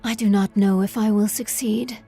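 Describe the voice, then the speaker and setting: A woman playing a solemn, haunted priestess and sculptor in a video game, speaking with measured reverence in a husky voice. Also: medium pitch, fairly even intonation, mellow, soft background music. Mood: solemn, reverent. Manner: slow, measured, reverent speech. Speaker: religious character in a video game. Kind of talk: video game character dialogue.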